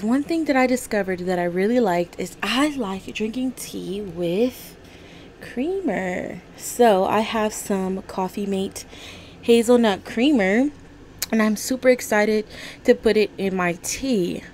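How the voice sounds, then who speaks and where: A woman hosting a casual home video podcast, chatting to her viewers in a slightly raspy morning voice and apologizing often. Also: mid-range voice, a little slow, slight background noise. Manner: chatty, apologetic, easily excited. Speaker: podcast host. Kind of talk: casual chat to camera. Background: coffee maker running.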